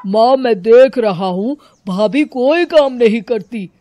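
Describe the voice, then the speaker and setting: A woman's voice: a little high, lively intonation, slow, dark tone, dry recording, clean studio sound, faint music behind. A woman voicing a young husband complaining to his mother. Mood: pleading. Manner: pleading, complaining.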